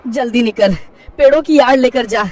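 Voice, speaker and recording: A woman's voice: fairly high pitch, moderate pace, darkish tone, distant mic, close to an everyday voice. A woman voicing a kindly, worried male parrot who tells a story and warns urgently and emotionally. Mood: urgent, grieving.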